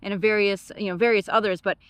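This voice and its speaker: A woman's voice: medium pitch, fairly even intonation, fast, clear, resonant tone, dry recording. A woman with a Michigan accent co-hosting a travel vlog outdoors, chatting casually and thinking aloud as she talks. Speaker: travel vlogger. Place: outdoors at a park.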